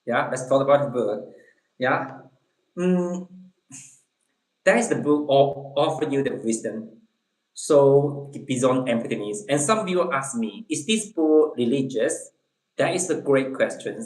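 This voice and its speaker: Male streamer with a Chinese accent, unhurried and halting, mid-pitched. Casual viewer chat in an echoey room. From Eastern China. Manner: halting, repeats words, searches for English phrases. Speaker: livestream host.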